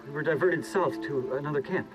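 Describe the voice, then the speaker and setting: A man playing an anxious government research scientist in a hazmat suit, with halting, stammering speech, in cinematic video game acting. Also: fairly high pitch, music in the background, close to an everyday voice, rough recording. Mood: frightened, flustered.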